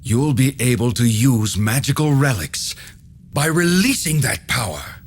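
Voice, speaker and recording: An actor playing a helpful, knowing male enchanter who explains things in a measured way in a video game cutscene. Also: medium pitch, sing-song, slight background noise. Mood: instructive, calm.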